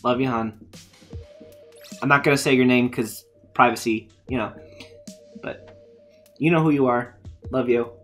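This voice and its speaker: A man live-streaming a video game, chatting casually with viewers while he plays, his voice going hoarse from a long session. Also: mid-range voice, measured pace, pauses often, fairly bright tone, faint music behind. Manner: chatty, thinking aloud while playing. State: losing his voice.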